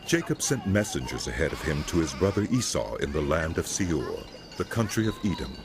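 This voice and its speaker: A man narrating a scripted Bible drama in a solemn, measured storytelling manner, with music behind him. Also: rumbling low, big pitch swings, background noise.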